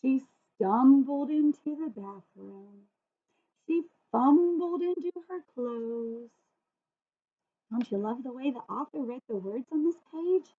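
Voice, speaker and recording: A woman reading a children's story expressively and deliberately, pausing to ask listeners questions. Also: high voice, expressive, husky. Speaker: storybook reader. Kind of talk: children's read-aloud with asides to listeners. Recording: phone-like, muffled thin audio.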